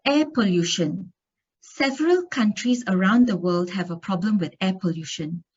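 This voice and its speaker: A woman's voice: mid-range voice, expressive, moderate pace, a little mellow, husky, dull audio. A woman from Singapore giving an academic conference lecture, reading steadily through study findings with occasional fillers and restarts. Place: conference room.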